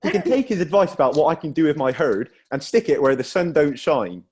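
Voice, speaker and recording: A man's voice: a little high, very animated, fairly quick, a little mellow. Man putting on a grumpy boastful cow farmer, swearing, radio-soap character.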